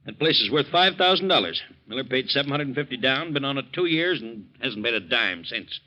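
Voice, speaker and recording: An actor playing an irascible, intolerant landowner in a 1940s radio drama, gruff and scornful. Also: male voice, mid-range voice, lively intonation, fairly quick, bright, forceful tone. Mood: scornful, grumbling. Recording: muffled 1940s radio recording.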